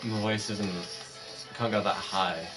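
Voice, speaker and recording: A man streaming a game, chatting casually and rambling, his voice slightly raspy. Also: fairly low pitch, steady tone, slow. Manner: rambling, self-interrupting, laughs often. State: voice going raspy. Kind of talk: casual gaming chat. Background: background music.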